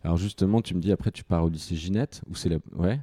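A man hosting a podcast, reading a smooth scripted intro and then asking questions with hesitant fillers. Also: low-pitched, quick pace, warm tone, no room sound. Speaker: podcast host.

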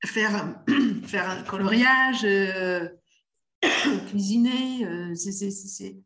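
A woman's voice: medium pitch, drawn-out words, fairly bright tone. A middle-aged woman from the Netherlands, by mother tongue, speaking French as a podcast guest, searching for words with many restarts. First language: Dutch. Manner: hesitant, many restarts and fillers. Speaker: guest, doula of the inner child. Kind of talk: casual podcast conversation.

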